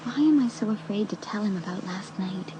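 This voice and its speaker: A woman's voice: mid-range voice, a little fast, noisy background, close to an everyday voice. An actress playing an uneasy teenage girl in a dramatised audiobook, with an anxious, brooding manner.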